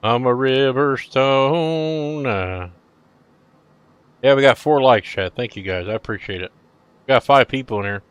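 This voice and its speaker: A man's voice: medium pitch, a little slow, long pauses, smooth, dry recording, lo-fi sound. Middle-aged guy streaming, chill and rambly, talking to chat, dry close sound. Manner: laid-back, rambling, talks to chat. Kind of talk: casual live-stream chat.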